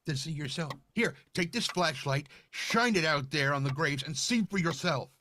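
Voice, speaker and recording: An actor playing a haunted, nervous widower in old-time radio horror style, halting and stammering with agitation. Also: male voice, fairly high pitch, pushed and bright, a little hiss or hum. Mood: eerie insistence. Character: haunted, nervous, grieving widower desperate to sell.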